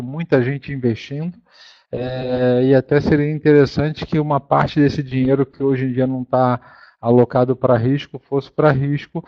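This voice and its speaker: A Brazilian man giving an informal business presentation as a fund manager, halting often, repeating words and correcting himself as he explains. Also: fairly high pitch, a little mellow, no room sound. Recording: dull, lo-fi, dry conference call audio.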